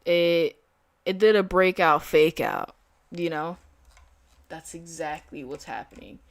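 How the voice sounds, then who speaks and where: A woman's voice: on the low side, slow pace, dry recording. A young woman chatting casually with viewers while trading live, full of fillers and slang. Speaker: day trader streaming live. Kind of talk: casual live-stream chat.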